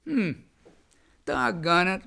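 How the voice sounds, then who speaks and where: An older man, a veteran, recalling his past in an oral history interview, halting with many restarts and fillers and a loud voice. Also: high voice, sing-song. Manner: says he has a loud voice.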